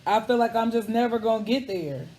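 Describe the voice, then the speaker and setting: A male host with a notably high voice who keeps things loose and candid. He asks his questions in plain, easygoing talk. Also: brisk. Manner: relaxed, conversational, candid. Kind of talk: casual interview chat.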